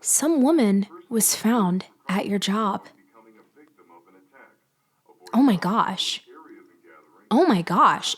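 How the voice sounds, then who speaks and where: A woman acting as a bitter, sardonic ex-girlfriend out for revenge in an ASMR-style audio roleplay, with pointed, mock-sweet delivery and loaded pauses. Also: mid-range voice, expressive, husky, heavily acted. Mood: feigned shock. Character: vengeful ex-girlfriend freshly released from prison, bitterly sardonic.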